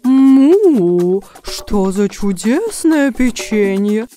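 Hungry cartoon cow, super slow drawn-out talk, mellow, faint music. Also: female voice, on the high side, very animated, exaggerated voice. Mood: hungry, eager. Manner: drawn-out, mooing. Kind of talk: children's cartoon fairy tale acting.

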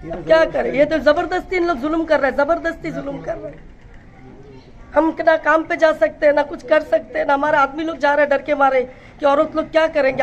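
A woman's voice: sits high, highs cut off, slight background noise. A woman in her fifties, a local resident, interviewed in the street, complaining in a distressed, pleading way. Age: 50s. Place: street outdoors.

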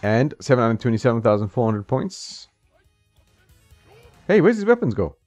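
A man's voice: mid-range voice, very animated, normal speed, darkish tone, no echo. A man casually commenting while playing an old fighting game at home, chatting and laughing at the screen. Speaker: gaming channel host. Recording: slightly dull, dry sound with game music behind.

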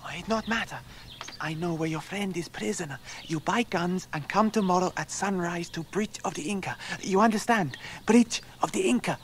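A man's voice: high-pitched, moderate pace. An actor playing a timid, loyal South American orange-seller boy in a radio drama, speaking urgent broken English. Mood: furtive, urgent.

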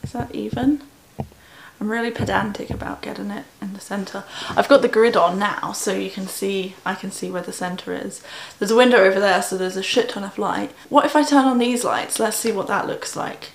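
A woman's voice: medium pitch, moderate pace, gravelly. A young English woman vlogging to her phone camera in a small bedroom, chatty and self-deprecating, with plenty of ums and likes. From England. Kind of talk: casual vlog chat to camera. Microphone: phone on tripod. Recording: roomy bedroom echo.

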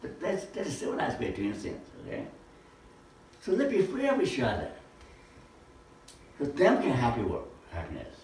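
A man's voice: mid-range voice, wildly swooping pitch, normal speed, long pauses, darkish tone, slight background noise. An older man giving an informal lesson in a living room, asking rhetorical questions and gesturing broadly, recorded by a camera across the room. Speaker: lecturer. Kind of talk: informal teaching talk. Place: living room with projector screen. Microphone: far camera. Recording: echoey room from far off.

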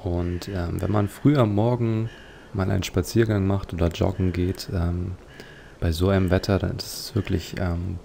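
A man giving casual, musing, unhurried commentary as he plays a video game. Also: low voice, gravelly, dark tone, a little hiss or hum. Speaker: let's player.

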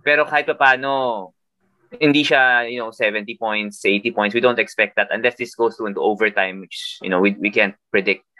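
Filipino guy on a video call, taglish sports chat, bright voice, dry sound. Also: lowish voice, steady tone, brisk, no echo, poor audio. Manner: mixes Tagalog and English, thinks aloud with fillers. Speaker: fantasy basketball podcast co-host. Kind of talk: casual analytical chat.

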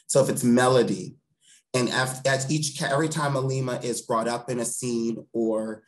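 A man's voice: mid-range voice, fairly even intonation, moderate pace, rough recording. Guy in his 30s on a video call, thoughtful director type, talks with feeling and repeats phrases for emphasis. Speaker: theatre director, interview guest. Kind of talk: thoughtful interview talk. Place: home room on a video call.

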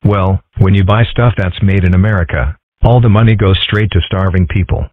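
A man voicing deadpan male animals in a comic strip dub, with a stilted reading. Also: sits low, moderate pace. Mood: matter-of-fact. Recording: muffled, narrow phone-like sound.

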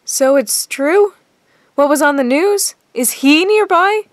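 Casting: accusing civilian, high voice, distraught, dry sound, superhero audio drama. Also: female voice, lively intonation, takes it slowly, dry recording. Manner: emotional, accusatory. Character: accusing, distraught female diner bystander.

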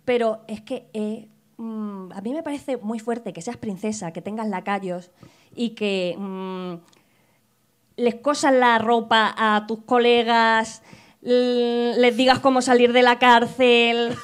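A young woman hosting a comedy podcast on a small bar stage, talking into a handheld mic with animated mock outrage. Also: fairly high pitch, takes it slowly, clean tone. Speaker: podcast host. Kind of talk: casual comedic banter.

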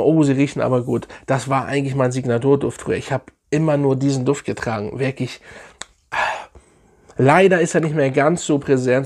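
A man in his thirties sits in his living room and reviews fragrances in a casual, chatty way. His voice is a little hoarse from a sore throat, and he lingers on the words he wants to stress. He sounds keen and talks straight to the camera. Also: medium pitch, a little mellow. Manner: enthusiastic, chatty, drawn-out emphatic phrases. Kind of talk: casual product review vlog. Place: living room, seated indoors.

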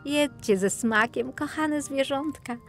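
A kind, caring young girl from a storybook, acted by a woman. She sounds gentle and earnest, with a high-pitched voice and faint music behind her. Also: slow pace.

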